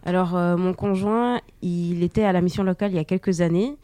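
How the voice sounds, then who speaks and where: A woman's voice: on the low side, steady tone, brisk, dark tone, no room sound. A young woman born in Portugal, guest on a local radio show, talking casually with frequent fillers. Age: young adult. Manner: hesitant, many fillers.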